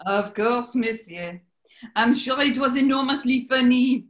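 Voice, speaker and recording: A woman's voice: on the high side, unhurried, a bit bright. A woman playing a formal, flamboyant French maitre d' with a French accent in a 1940s-style radio comedy. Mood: formal, obliging.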